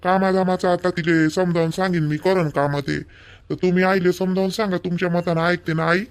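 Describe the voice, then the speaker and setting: Man playing a high-pitched, opinionated village son. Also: steady tone, brisk, smooth. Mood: concerned. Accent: Vidarbha Marathi. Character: opinionated, protective young married village son. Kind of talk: homemade cartoon family dialogue.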